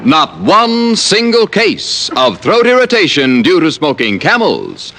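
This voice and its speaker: A man's voice: a little high, wildly swooping pitch, measured pace. A man announcing an old-time radio show and its sponsor. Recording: loud brass music behind voice. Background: loud brass theme music.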